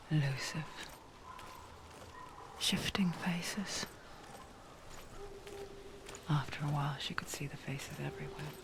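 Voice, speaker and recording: A woman playing an intimate, knowing narrator in a dark fantasy game, speaking in a breathy, confiding whisper. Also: deep voice, noisy background. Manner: slow, hushed, confiding, addressing the listener. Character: soft-spoken female narrator voice, intimate and eerily knowing. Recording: music behind the voice. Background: music.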